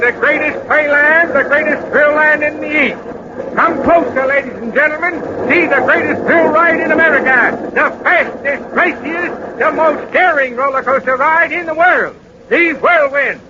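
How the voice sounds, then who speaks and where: An actor playing a brash, showy, declamatory carnival barker in a 1940s radio drama. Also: male voice, extremely high pitch, moderate pace. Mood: hawking excitedly. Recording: muffled, noisy 1940s radio broadcast recording.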